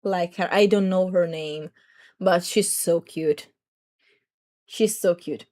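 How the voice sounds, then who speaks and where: A young adult woman streamer with a slightly raspy throat, chatting to viewers in a rambling, talkative way. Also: on the low side, steady tone, slow pace, mellow. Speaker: VTuber streamer. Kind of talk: casual livestream chat.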